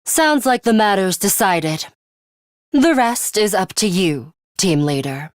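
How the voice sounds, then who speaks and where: A woman voicing a coolly pragmatic, blunt young female fighter in a video game, speaking in a measured, serious, clipped way. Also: medium pitch, lively intonation, dry recording, clean studio sound. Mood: composed. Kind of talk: English video game voice acting.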